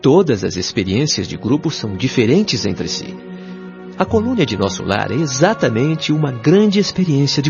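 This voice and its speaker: A Brazilian man playing a kindly, patient spirit guide in an audio drama, explaining things in a measured, didactic, carefully enunciated way. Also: medium pitch, vocal fry. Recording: dull lo-fi audio, music behind.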